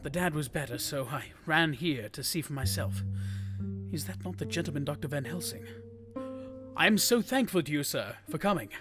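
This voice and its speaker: A man plays a young gentleman in love for an audio drama. The voice is a little high, breathless and earnest, full of anxious devotion. Guitar music plays clearly behind it. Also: animated, moderate pace, close to an everyday voice. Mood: anxious, eager.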